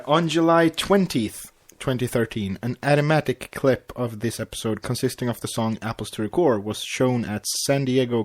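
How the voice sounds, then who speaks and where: A male podcast co-host with a mid-range voice. He speaks casually and a bit haltingly, circling back over his words as he chats or reads out notes. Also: medium pitch, a little mellow. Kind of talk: casual fan podcast chat, partly reading episode notes aloud.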